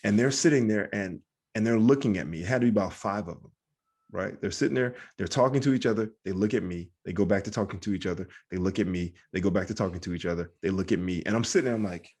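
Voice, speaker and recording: A man's voice: on the low side, fast, a little mellow, breathy edge. A man hosting a podcast and telling comic stories casually, repeating himself for emphasis. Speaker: podcast host and comedian. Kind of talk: casual chat, comic storytelling.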